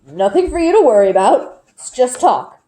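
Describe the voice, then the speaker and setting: A woman's voice: on the high side, big pitch swings, normal speed, warm tone. Woman voicing a guarded elder village shopkeeper man.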